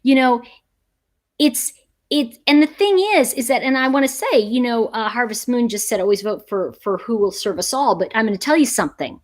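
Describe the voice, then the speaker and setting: A woman's voice: fairly high pitch, animated, moderate pace. A middle-aged American woman hosting a casual livestream chat, talking emphatically and conversationally and repeating phrases for stress. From United States. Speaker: livestream host; teacher. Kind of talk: casual livestream chat, reading and answering viewer comments.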